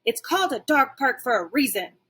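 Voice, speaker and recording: A woman reading a children's storybook, voicing an excitable, boastful and playful pet dog with exclamatory, dramatic delivery. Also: high voice, fairly quick, breathy edge, pitched above the performer's own voice, full character voice. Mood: fierce, defiant. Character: excitable, cocky, playful pet dog narrating his adventures. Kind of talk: children's storybook read-aloud.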